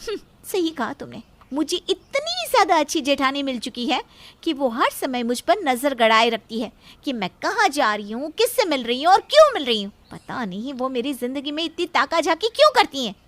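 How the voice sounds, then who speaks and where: A woman's voice: high-pitched, sing-song, moderate pace. A woman playing a resentful, defiant young wife with a sarcastic, complaining manner in a family story.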